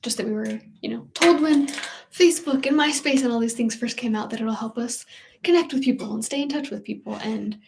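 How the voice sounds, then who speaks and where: A woman in her late twenties co-hosting a live question-and-answer chat, speaking in a calm, matter-of-fact way. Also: fairly high pitch, a little fast, gravelly, a little hiss or hum. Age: 20s. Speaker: co-host.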